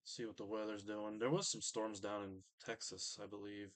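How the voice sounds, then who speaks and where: A man's voice: lowish voice, little pitch movement, normal speed, fairly bright tone. A man hosting a live stream in a casual, distracted, muttering way.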